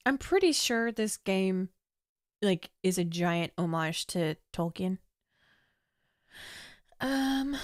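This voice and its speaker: A young woman streaming a text adventure, chatting as she reads the game's room descriptions aloud in a measured storytelling way. Her voice is slightly hoarse, as if she is losing it. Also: mid-range voice, no echo, clean studio sound. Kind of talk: casual game commentary, reading game text aloud.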